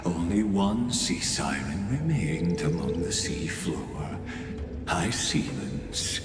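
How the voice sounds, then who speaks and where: A man's voice: deep voice, animated, slow. A man playing a grave, theatrical storyteller narrating a myth in a video game, solemn and portentous. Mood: solemn, inviting. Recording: echoey long reverb, loud music behind.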